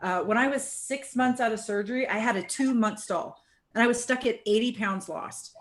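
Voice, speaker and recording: A woman's voice: on the high side, normal speed. A woman hosting a casual group chat, upbeat and encouraging, often ending thoughts with "right?". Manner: upbeat, encouraging, chatty with many "right?" tags. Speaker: host.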